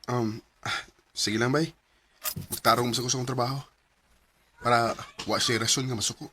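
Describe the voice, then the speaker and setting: A man's voice: mid-range voice, steady tone, moderate pace, background noise, natural voice. A man acting in a Bisaya radio drama as an earnest, meek, hardworking office employee, with a hesitant manner and a Filipino Bisaya accent.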